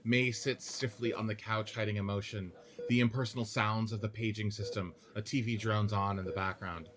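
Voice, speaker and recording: A man's voice: on the low side, moderate pace. Man reading stage directions. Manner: steady, clipped direction reading. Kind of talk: script reading in 1940s radio play style.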